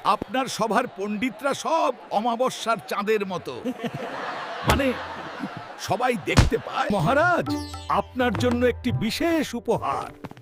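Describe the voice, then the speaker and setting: A man voicing a witty, cheeky court jester in a children's cartoon, with a sly, theatrical, deliberate delivery. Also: very high voice, sing-song, heavily acted. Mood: sly, teasing. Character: witty, cheeky male court jester flattering and teasing a king. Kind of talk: children's cartoon folk-tale voice acting. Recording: loud music behind the voice. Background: loud music.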